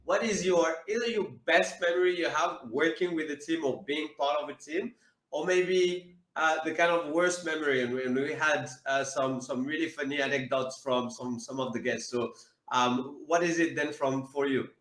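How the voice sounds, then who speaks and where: A man's voice: fairly high pitch, fairly even intonation, normal speed, a bit bright, roomy sound, rough recording. A man hosting an interview, friendly but hesitant, with many fillers and repeats, on a video call. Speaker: podcast host.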